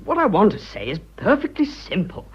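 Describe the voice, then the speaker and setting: An actor playing an earnest, awkward young English businessman in love, halting and self-conscious, in a period radio drama. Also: high voice, huge pitch swings, slightly rough, muffled recording, exaggerated voice. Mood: agitated, lovestruck. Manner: halting, self-conscious, blurting, clipped old-fashioned speech.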